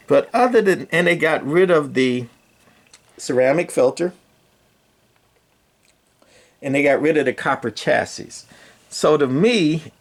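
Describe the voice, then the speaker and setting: An older man with a creaky, gravelly voice at a middling pitch talks like a radio hobbyist at his bench. He rambles in a casual, folksy way, drifting into digressions and dry sarcasm.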